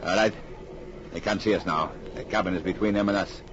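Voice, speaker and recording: An actor playing a cold, methodical gunrunner boss in old radio drama, giving deliberate, menacing orders. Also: male voice, sits low, fairly even intonation, close to an everyday voice. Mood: calculating. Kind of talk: 1940s radio villain acting. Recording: muffled, noisy old radio recording.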